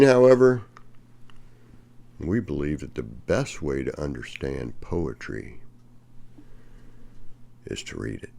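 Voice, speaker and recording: An older man reading aloud from a book with brief asides, in a deliberate delivery that swings from emphatic to hushed. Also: very deep voice, creaky. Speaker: reader of a poetry textbook.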